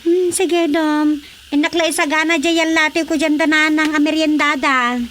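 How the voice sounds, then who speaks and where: An actress playing a gossipy village woman in a radio comedy, chatty and expressive, with an Ilocano accent. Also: high voice, normal speed, slight background noise. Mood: casual, caring.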